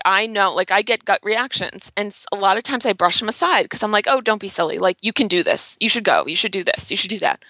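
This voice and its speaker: A woman's voice: mid-range voice, pushed and bright, dry recording. A woman in her thirties, a designer and blogger, talking earnestly about herself in a podcast interview, with light laughs and lots of "um" and "like". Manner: earnest, self-reflective, laughs lightly, many "um" and "like". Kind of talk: candid podcast interview chat.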